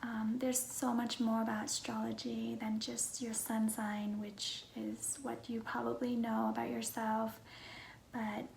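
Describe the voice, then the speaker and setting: A young adult woman from Vietnam with a Vietnamese accent, talking casually and softly with a breathy voice as she answers personal questions for her viewers. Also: fairly high pitch, flat delivery, a little slow. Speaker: vlogger. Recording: roomy echo, faint background noise.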